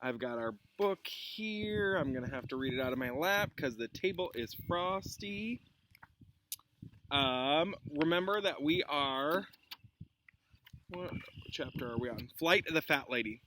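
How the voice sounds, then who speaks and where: A man's voice: a little high, animated, a little slow. A male teacher reading a storybook aloud to children outdoors, with informal explanatory asides. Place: outdoors in a chilly llama pasture. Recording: clearly noisy outdoor recording with clicks.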